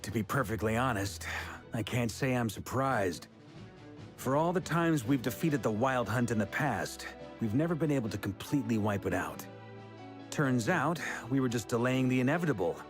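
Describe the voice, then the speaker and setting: A man playing a seasoned, easygoing middle-aged knight commander in a video game, speaking in a measured, reassuring, thoughtful way. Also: mid-range voice, lightly acted.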